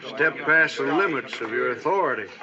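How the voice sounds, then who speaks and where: An American actor playing a stern, worried small-town constable giving warnings in an old radio western. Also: male voice, mid-range voice, animated, moderate pace, close to an everyday voice. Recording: dull old radio recording, crowd noise.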